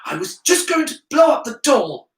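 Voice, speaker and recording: A man voicing a proud, stubborn, defiant schoolboy in a story, speaking with deliberate emphasis. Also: very high-pitched, sing-song, voice pushed up. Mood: insistent. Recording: roomy echo.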